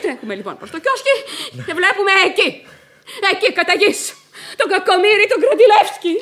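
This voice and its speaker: A woman's voice: very high voice, very animated, a bit bright, exaggerated voice. An actress playing a chattering, melodramatic young wife in a radio farce, telling her tale with theatrical gushing. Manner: breathless theatrical storytelling, broken by giggles and wails. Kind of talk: radio stage farce acting.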